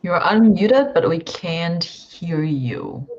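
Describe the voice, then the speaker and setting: Low-voiced woman moderating, muffled audio. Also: animated, slow pace, a little mellow. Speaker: meeting moderator. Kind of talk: helping a participant in online meeting. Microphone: online meeting microphone. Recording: dull, noisy online meeting audio.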